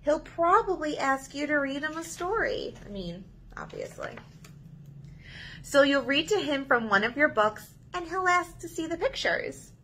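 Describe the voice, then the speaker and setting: A woman in her thirties leading a children's story time, reading aloud in an animated, sing-song way to young listeners. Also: sits high, a little slow, slight background noise, poor audio. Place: small indoor room.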